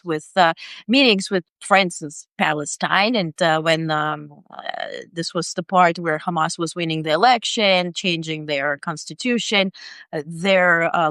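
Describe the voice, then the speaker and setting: A middle-aged woman from Ukraine, an independent journalist interviewed on a video call, talking in a halting way with many fillers. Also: on the low side, a bit bright, dry recording. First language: Ukrainian or Russian. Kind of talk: interview conversation.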